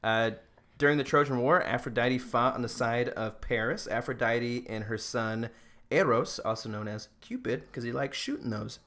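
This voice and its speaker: A man's voice: mid-range voice, moderate pace, fairly bright tone. A man hosting a podcast, casually retelling myths in a joking, irreverent and slangy way. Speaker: podcast host.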